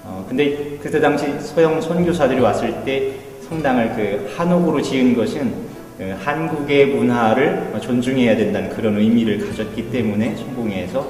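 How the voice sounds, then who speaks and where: A middle-aged man explains history as a guide would, pausing now and then to find words. His medium-pitched voice rings around a reverberant hall and sits some way from the microphone. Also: darkish tone. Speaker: Anglican priest, church guide. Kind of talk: informal explanatory guided tour of church history. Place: inside a wooden church hall. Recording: echoey hall, distant mic, faint music.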